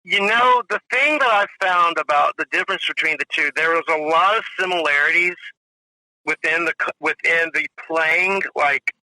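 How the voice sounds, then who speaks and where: A man's voice: fairly high pitch, normal speed, pushed and bright, low-quality recording. A man in his forties, a drummer guest telling rambling stories in a casual interview, full of "you know" and "if you will". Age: 40s.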